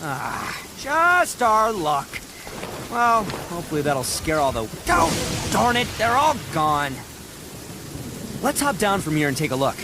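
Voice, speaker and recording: A boastful goofy oni braggart. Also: male voice, high-pitched, very animated, slow pace, background noise, heavily acted. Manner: bragging, folksy and loud, hearty laughs. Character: brash young male oni, boastful and goofy. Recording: game soundtrack with music behind. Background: music.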